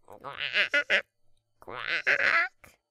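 A woman voicing a big, fat, dim frog who grumbles in a croaky, drawn-out way for a fairy tale. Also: low voice, huge pitch swings, sharp tone, no echo, clean studio sound, voice pushed down, heavily acted. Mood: grumpy. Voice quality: croaky, gravelly. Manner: slow, drawn-out, repeating itself.

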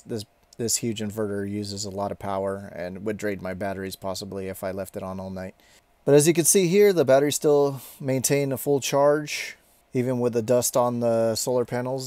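A man with a fairly low voice hosts a casual, hands-on tech update. He talks informally and haltingly, rambling a little as he goes. The sound is dry and close, as from a handheld camera. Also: dark tone, no echo. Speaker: tech video maker, host.

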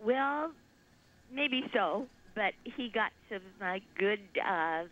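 An older woman, a winery owner, speaking haltingly with many pauses and fillers as an interview guest. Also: mid-range voice, expressive, fairly bright tone, muffled recording. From Southern California.

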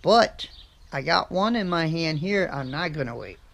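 A middle-aged American man vlogging casually about his day, relaxed and chatty, filming himself in his vehicle and on a porch. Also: a little high, moderate pace, gravelly, slight background noise. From USA. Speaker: trucker vlogger. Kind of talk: casual vlog chat. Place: in a parked vehicle, on a home porch outdoors. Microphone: camera mic close up.

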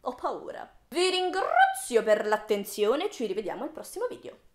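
High-voiced young woman, roomy sound. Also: huge pitch swings, normal speed, over music. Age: early 20s. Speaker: video creator, host. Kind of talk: casual video essay to viewers. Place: small room with bookshelves, indoors. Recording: roomy indoor echo.

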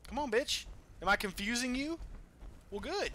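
A man's voice: very high voice, normal speed. A man giving casual, profane gameplay commentary as a let's play host. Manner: profane, animated trash-talk.

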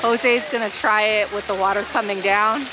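A woman hosting a family vlog, chatting casually to the camera at an outdoor park and cheering loudly from a distance. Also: mid-range voice, steady tone, moderate pace. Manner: upbeat, addresses viewers as "guys". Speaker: family vlogger host. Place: outdoor theme park and expo grounds. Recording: loud outdoor noise, vehicles and splashing water.

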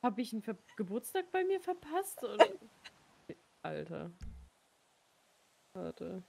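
A woman's voice: mid-range voice, very animated, takes it slowly, vocal fry, a little mellow. A woman in her thirties chatting casually in a game roleplay, swearing lightly and laughing often. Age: 30s.

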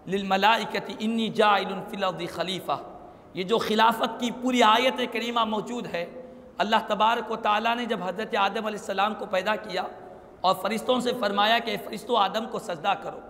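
A man's voice: sits high, vocal fry, a bit bright, big-room sound. A man, delivering an emphatic, declamatory religious sermon with Arabic quotations. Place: small indoor prayer hall, seated before an audience. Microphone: stand microphone and clipped lapel mic.

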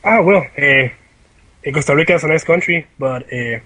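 Man, Salvadoran Spanish accent, higher-pitched, raspy, casual esports co-caster, searching for words. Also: normal speed, bright, forceful tone, poor audio. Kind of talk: casual chat on a live stream. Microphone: online voice call.

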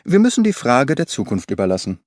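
A man reading the part of a calm, analytical detective in an audiobook, measured and probing, dry recording. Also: mid-range voice, no echo. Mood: musing.

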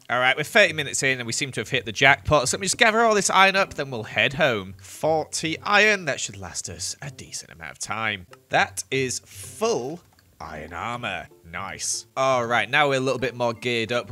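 A young man commentates his gameplay in a chatty, jokey way, breaking into excited bursts. His voice is bright and swings widely in pitch. Faint music plays under the voice-over. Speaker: gaming youtuber. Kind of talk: playthrough commentary.

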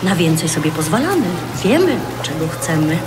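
A woman's voice: low-pitched, sing-song, normal speed, over music. An actress playing a bold, flirtatious, self-assured woman in her forties in an audio drama, with a teasing, natural delivery. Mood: teasing, confident. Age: 40s.

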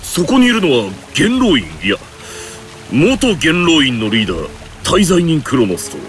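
A man's voice: on the high side, big pitch swings, normal speed, a bit bright. An actor voicing a proud, authoritative warrior king in a Japanese fighting game, declamatory and stern. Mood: stern, incredulous. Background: background music.

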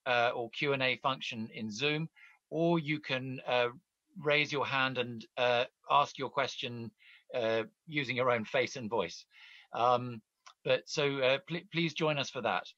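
A man's voice: medium pitch, sharp tone, no room sound, highs cut off. A middle-aged man, an editor hosting a virtual forum interview over a video call, polite and hesitant, with many fillers.

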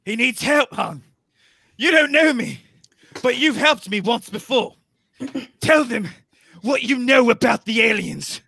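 A man acting a brash, indignant male bounty hunter in a comic table read, with a raspy, strained voice. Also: very high voice, expressive, a little slow, pauses often, bright, forceful tone, dry recording, clean studio sound, full character voice. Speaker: actor in live script table read. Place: home room, video call. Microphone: microphone with pop filter, close.

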